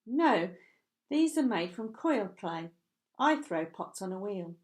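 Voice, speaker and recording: A woman's voice: medium pitch, very animated, slow, a little mellow. A woman voicing a firm, self-effacing mother and potter who explains herself, in a storybook reading. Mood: matter-of-fact.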